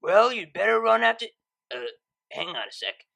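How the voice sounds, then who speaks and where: A woman's voice: a little high, very animated, moderate pace, gravelly, pushed and bright, pitched above the performer's own voice, full character voice. Woman playing a brash, slangy young unicorn. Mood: mischievous, fumbling. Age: young adult. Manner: casual, blunt, slangy.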